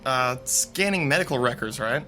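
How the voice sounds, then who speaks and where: A man's voice: mid-range voice, lively intonation, moderate pace, sharp tone, faint music behind. A man acting an eager, friendly young college theater technician in a naturalistic radio drama.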